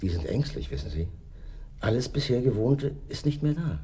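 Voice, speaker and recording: An actor playing an eccentric, gentle morgue attendant who talks in a halting, confiding way in a radio play. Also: male voice, medium pitch, sing-song, husky, heavily acted. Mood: musing, confiding. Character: eccentric, gentle morgue attendant, self-declared crazy fellow.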